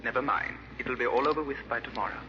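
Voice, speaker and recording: An actor playing a squeamish, sullen brother and accomplice, clipped and impatient, in an old radio drama. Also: male voice, mid-range voice, fast, lightly acted. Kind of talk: 1940s radio drama acting. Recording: muffled, noisy old radio recording.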